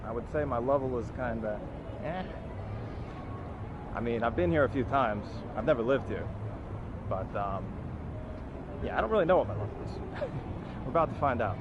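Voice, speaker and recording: Man from the US, travel vlogger, mid-range voice, chatty and upbeat, walking outdoors, muffled lo-fi sound, loud traffic noise. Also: mid-range voice, animated, normal speed, pauses often. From United States. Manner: chatty, upbeat, explaining as he walks. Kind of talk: walking travel vlog narration. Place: busy city street and metro station outdoors.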